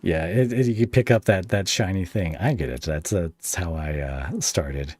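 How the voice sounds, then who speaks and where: A man with a low voice and a very dry, close sound hosts a relaxed interview. He talks in a rambling, conversational way, often feeling around for the right word. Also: unhurried, no echo. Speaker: podcast host and interviewer. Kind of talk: casual podcast interview.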